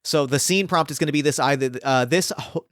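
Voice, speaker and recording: A man's voice: fairly high pitch, brisk, fairly bright tone, no echo, clean studio sound. A man hosting as game master, casual and encouraging, often repeating words as he gathers his thoughts. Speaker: game master and podcast host.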